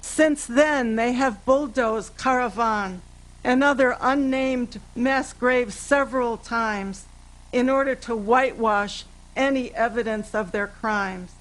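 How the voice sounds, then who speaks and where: A woman gives a prepared speech at a rally. Her voice sits a little high, and she speaks very slowly and earnestly, in declarative statements. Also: rough recording. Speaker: activist speaker. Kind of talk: speech read from paper at a rally. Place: indoor event stage with curtain. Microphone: microphone on a stand.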